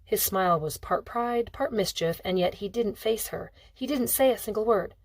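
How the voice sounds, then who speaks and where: A woman's voice: mid-range voice, normal speed, no echo. A woman reading a novel aloud as scripted audiobook narration. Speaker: audiobook reader.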